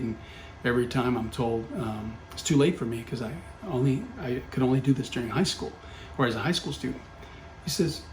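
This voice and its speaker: A man's voice: medium pitch, darkish tone. A middle-aged man telling a personal story in casual talk, full of fillers and self-corrections. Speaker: podcast guest, company founder. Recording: roomy echo with faint background hum.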